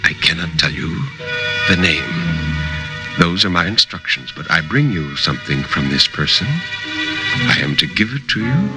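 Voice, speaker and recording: A man voicing a secretive, impassive male messenger who speaks in a terse, measured, evasive way. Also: wildly swooping pitch, over loud music, exaggerated voice. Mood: guarded, secretive. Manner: low, slow, measured, evasive.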